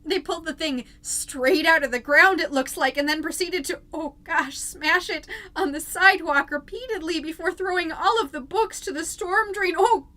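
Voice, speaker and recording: Woman acting a high-voiced, flustered, anxious mom podcaster. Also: sharp tone. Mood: horrified, tearful. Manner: flustered, halting, repeating apologies and exclamations. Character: anxious, apologetic mom podcaster slipping into eerie trances. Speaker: fictional podcast host. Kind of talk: scripted audio drama monologue as a podcast.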